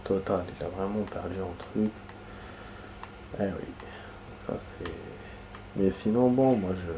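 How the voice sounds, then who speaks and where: A man with a stuffy cold talks through a game session in a loose, rambling way, stopping and restarting his thoughts. His voice sits low and mellow, with a nasal edge from the cold. A faint hiss runs under the recording. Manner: rambling, many fillers and restarts. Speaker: gaming video host. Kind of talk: casual gameplay commentary.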